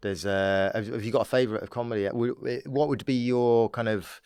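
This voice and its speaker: A man's voice: medium pitch, fairly even intonation, brisk, a little mellow, clear, resonant tone. A British man talking casually as a podcast guest, rambling along and prone to chuckling. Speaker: podcast guest, stand-up comedian. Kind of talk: casual chat.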